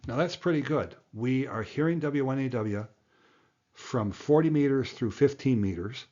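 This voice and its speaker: A man's voice: medium pitch, moderate pace, darkish tone, dull audio. A man giving a plain-spoken, emphatic tutorial as an instructor, explaining things step by step. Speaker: amateur radio instructor and presenter.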